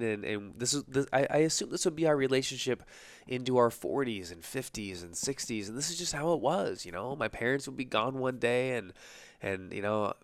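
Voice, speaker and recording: A man in his thirties hosting a podcast, telling a personal story with a stuffy nose and a tiring voice, halting with restarts and fillers. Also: mid-range voice, no room sound. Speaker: podcast host.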